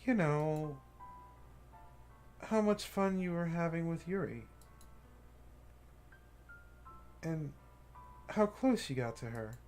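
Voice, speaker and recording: A man's voice: darkish tone, exaggerated voice. A man voicing a cheerful, clingy teenage girl from a visual novel, in a raised girlish voice that turns tearful. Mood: wistful. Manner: high girlish voice, breaking into tearful pleading. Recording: faint game music behind.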